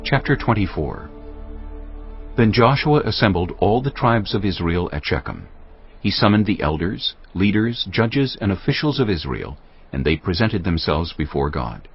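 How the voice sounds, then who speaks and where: Male scripture narrator, deep and husky, lively intonation, scripted dramatized read over music. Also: normal speed, muffled recording.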